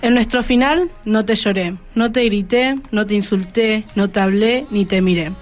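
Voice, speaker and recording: A teenage girl's voice of medium pitch with a Rioplatense Argentine accent. She speaks as a student guest on the radio, over faint background music. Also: normal speed.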